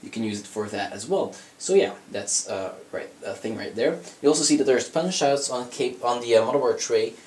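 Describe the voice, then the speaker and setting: A man's voice: mid-range voice, lively intonation, fairly quick, few pauses, a little mellow, a little hiss or hum. Young man with a cold, casual review. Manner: rambling, many fillers and self-corrections. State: has a cold, slightly scratchy voice. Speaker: hardware reviewer. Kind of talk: casual product review walkthrough. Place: indoors at a desk, handling a PC case.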